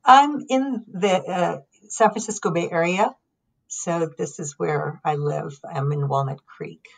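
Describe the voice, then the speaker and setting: A middle-aged woman from Lebanon with a low voice tells her story as an interview guest. She speaks calmly and thoughtfully, pausing often with ums. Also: gravelly, slightly rough, rough recording. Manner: calm, reflective, with frequent ums and pauses. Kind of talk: conversational storytelling.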